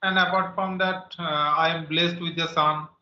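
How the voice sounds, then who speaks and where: Brief: 30s man, slightly high voice, halting learner full of "uh", dull boomy call audio. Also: fairly even intonation, pushed and bright, slight background noise. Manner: halting, many pauses and fillers. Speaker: teacher and English learner. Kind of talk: English practice conversation. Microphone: video call. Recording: muffled, echoey video call audio.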